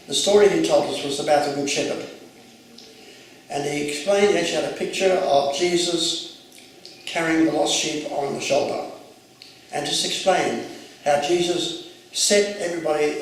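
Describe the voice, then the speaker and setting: A retired clergyman in his eighties, first raised speaking Arabic, looks back on his childhood in a calm, measured way. His voice is somewhat high and gravelly. It sounds far from the mic in a very echoey room with a faint hiss. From Jerusalem, Mandatory Palestine. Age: 80s. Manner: measured, reflective storytelling. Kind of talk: oral history recollection.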